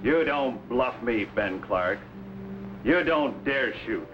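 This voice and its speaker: Slow, arrogant western villain, dull sound. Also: male voice, mid-range voice, lively intonation. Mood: taunting, defiant. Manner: slow, taunting, defiant. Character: arrogant, menacing Old West power broker, man. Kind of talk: 1950s western drama acting. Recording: dull vintage soundtrack with music.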